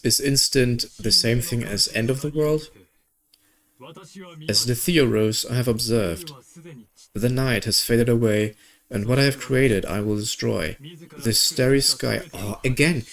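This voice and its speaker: A man's voice: mid-range voice, a little slow, creaky, fairly bright tone. A man reading video game story dialogue aloud and adding casual commentary, occasionally stumbling over words. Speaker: gaming video creator. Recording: music behind the voice.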